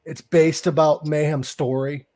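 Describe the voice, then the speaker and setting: A man's voice: a little high, flat delivery, a little slow. A man hosting a casual podcast, chatting and correcting details with a fussy, talkative manner. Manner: chatty, fact-correcting, laughs at dark humor. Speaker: podcast host.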